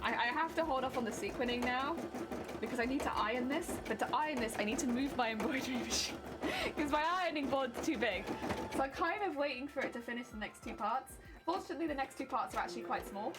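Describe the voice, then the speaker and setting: A woman's voice: high-pitched, quick pace. A woman with a British accent chatting casually on a craft live stream, giggling and muttering to herself as she works. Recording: loud music playing under voice. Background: loud background music and sewing machine.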